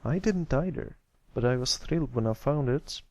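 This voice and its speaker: A man's voice: medium pitch, expressive, normal speed, darkish tone, dry recording, pitched above the performer's own voice, lightly acted. A man voicing a confident, kindly young big-brother pony in an easygoing, reassuring way. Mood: cheerful.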